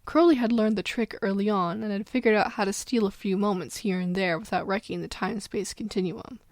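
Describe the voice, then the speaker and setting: A woman's voice: medium pitch, fairly even intonation, a little fast, no room sound, clean studio sound. A woman reading a story as dramatic narration, getting over a cold. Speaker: fan fiction narrator.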